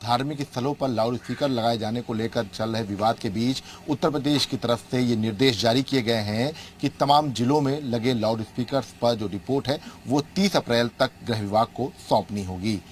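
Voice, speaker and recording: A man's voice: medium pitch, normal speed, few pauses. A man giving a news report to camera as a field reporter on location. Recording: faint location background noise.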